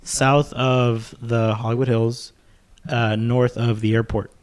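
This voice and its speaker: A man's voice: mid-range voice, level tone, slow pace. Guy from california on a podcast, medium voice, chill chat, explains stuff as he goes. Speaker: podcast guest.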